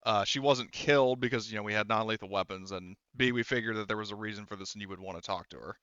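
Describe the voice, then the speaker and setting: A man narrating a tabletop game as game master in a casual, halting, sometimes profane way. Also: fairly low pitch, pushed and bright, clear, resonant tone, dry recording, highs cut off.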